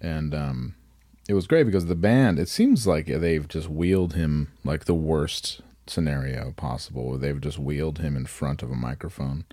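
A man's voice: very deep voice, normal speed, mellow, no echo, clean studio sound. A man co-hosting a podcast in casual chat, joking, laughing often and swearing. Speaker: podcast co-host.

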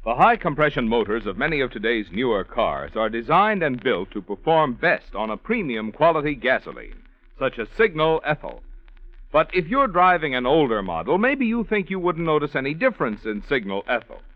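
A man's voice: medium pitch, very animated, normal speed. A man reading a 1940s radio commercial as an announcer, emphatic and salesmanlike. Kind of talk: 1940s radio advertising read. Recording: dry, muffled old radio recording.

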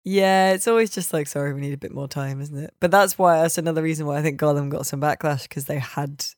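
Woman podcast host just chatting, informal and rambly, medium low-ish for a lady, very dry sound. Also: steady tone, fast, a little mellow, no echo. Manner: informal, discursive, peppered with "like" and "you know". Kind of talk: casual chat.

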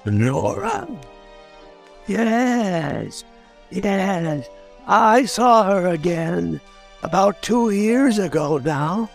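An actor voicing a cranky, cowardly undead ghoul salesman with a gravelly voice in a radio drama. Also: high voice, expressive, slow pace, faint music behind, exaggerated voice. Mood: nervous, pleading. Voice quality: creaky, gravelly. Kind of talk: old-time radio drama acting.

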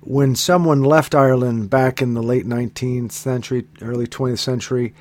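A man's voice: medium pitch, fairly even intonation. Man hosting a radio interview. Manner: measured questions with many fillers and restarts. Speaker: radio host.

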